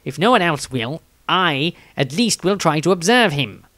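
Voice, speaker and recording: A man's voice: a little high, very animated, moderate pace, a bit bright, dry recording. A man voicing a conceited male scholar of magic, pompously reading from his storybook diary. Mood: suspicious, self-important.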